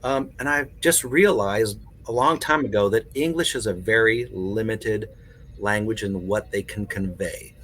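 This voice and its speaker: A man in casual podcast conversation, telling stories in an animated way with plenty of conversational fillers. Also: lowish voice, a little slow, slight background noise. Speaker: podcast panelist. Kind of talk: casual chat.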